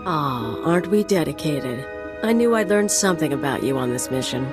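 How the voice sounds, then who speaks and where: A woman's voice: low voice, animated, moderate pace, music in the background. A woman playing a sly, teasing undercover operative in a video game, with a wry way of talking.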